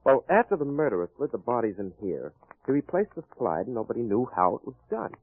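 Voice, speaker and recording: An actor playing a wry, confident private detective in a hard-boiled old-time radio crime drama. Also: male voice, mid-range voice, big pitch swings, quick pace. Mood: pleased, explaining. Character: wry confident male private detective cracking a murder case. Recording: muffled vintage radio recording.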